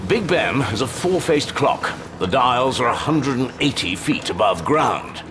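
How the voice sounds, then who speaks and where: An actor playing a pompous, unflappable older British intelligence chief with a gravelly voice and droll understatement, in an audio drama. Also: male voice, mid-range voice, expressive, a little slow, background noise, natural voice. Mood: genteel, wry.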